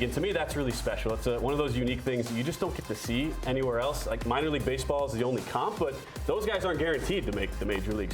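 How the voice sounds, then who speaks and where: Fast-talking, projecting man sports announcer. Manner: projecting, booming delivery. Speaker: radio play-by-play announcer, show guest. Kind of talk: interview, enthusiastic sports talk.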